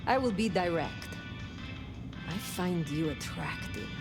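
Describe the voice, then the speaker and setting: An actress playing a stoic, blunt ancient vampire woman in a video game, speaking formally and deliberately. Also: fairly low pitch, lively intonation, music in the background. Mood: direct. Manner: formal, deliberate, terse, direct.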